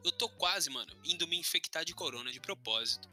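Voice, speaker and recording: A young man, co-hosting a podcast and talking in animated, slangy banter. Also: on the high side, brisk, over music. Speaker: podcast co-host. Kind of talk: casual joking chat.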